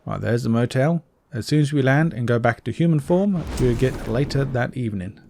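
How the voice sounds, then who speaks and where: A man's voice: mid-range voice, animated, normal speed, mellow, a little hiss or hum. A man giving a casual video game walkthrough, offhand, often correcting himself and slipping in joking asides. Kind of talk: casual gameplay walkthrough commentary.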